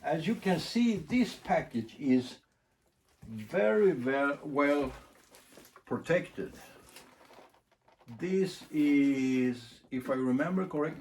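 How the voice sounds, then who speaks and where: A man giving a casual, unscripted demonstration, thinking aloud in short, halting phrases. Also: a little high, darkish tone. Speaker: record collector presenting a demonstration. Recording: clear background noise, clicks.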